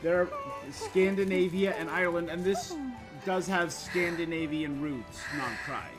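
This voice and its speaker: A woman live-streaming a game with casual, halting commentary. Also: fairly low pitch. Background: game music.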